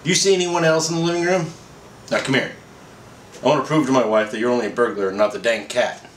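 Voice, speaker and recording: A man's voice: mid-range voice, fairly even intonation, quick pace, close to an everyday voice. Man playing a wry, stubborn husband, roomy. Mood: snappy. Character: dry, unruffled husband narrator, stubborn and wry. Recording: roomy echo, faint background noise.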